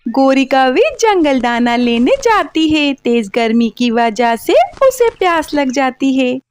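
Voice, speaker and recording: A woman's voice: sits high, animated, takes it slowly, clean tone. A woman narrating a scripted children's story as its storyteller.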